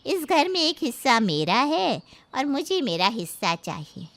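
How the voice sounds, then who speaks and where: Grasping, bright-voiced aunt, played by a woman. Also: high-pitched, big pitch swings, unhurried, heavily acted. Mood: demanding. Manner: complaining, insistent. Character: demanding, grasping middle-aged aunt.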